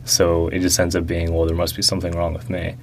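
A young man answering interview questions about growing up, talking slowly and reflectively with many fillers and restarts. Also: sits low, completely flat delivery, slight background noise.

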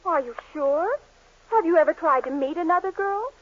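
Patient, persistent young American sweetheart, high and emphatic, 1940s radio comedy with muffled sound. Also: female voice, animated, normal speed, slight background noise. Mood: probing, pleading. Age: young adult. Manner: earnest, emphatic, pleading. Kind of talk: 1940s radio sitcom acting.

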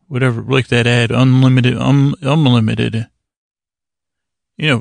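A man hosting a sleep podcast, giving a meandering, stop-and-start bedtime monologue in a drawn-out, soothing delivery. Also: mid-range voice, vocal fry, no room sound, clean studio sound. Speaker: podcast host. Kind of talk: rambling bedtime monologue to lull listeners to sleep.

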